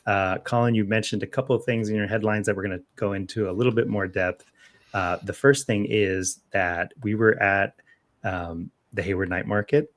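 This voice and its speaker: A man's voice: lowish voice, steady tone, normal speed. A man co-hosting a local news podcast in casual chat, with a playful, digressive way of talking.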